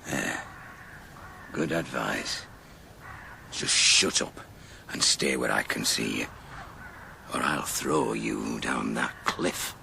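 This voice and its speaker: An actor playing a surly, threatening outlaw guard with a gravelly drawl in a radio drama. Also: male voice, very deep voice, slow, long pauses, sharp tone, slight background noise, exaggerated voice. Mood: menacing. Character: surly, menacing male outlaw guard who likes drink.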